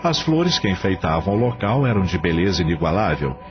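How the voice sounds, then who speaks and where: A man's voice: deep voice, very animated, fairly quick, dull audio. A Brazilian man narrating a radio novel in a dramatic scripted style. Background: music.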